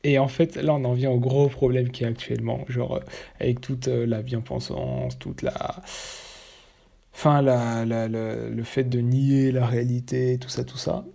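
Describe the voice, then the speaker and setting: Gravelly, husky-voiced man, halting. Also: medium pitch, little pitch movement, mellow. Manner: hesitant, halting, with many fillers and restarts; blunt and opinionated. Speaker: commentator. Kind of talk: casual reaction commentary.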